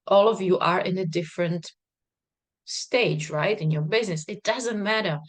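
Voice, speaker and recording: A woman, a non-native English speaker, talking to a live stream audience as a business coach in a bubbly, emphatic way with frequent laughter. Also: mid-range voice. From not Czech, worked in Czech Republic. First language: not English. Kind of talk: live stream talk to viewers.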